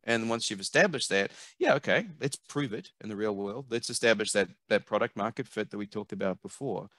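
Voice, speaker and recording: New Zealand man, low and animated. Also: brisk, dry recording. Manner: animated, emphatic, repeats words while thinking. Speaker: guest, marketing consultant. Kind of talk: conversational interview. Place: home office, video call. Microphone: headset mic.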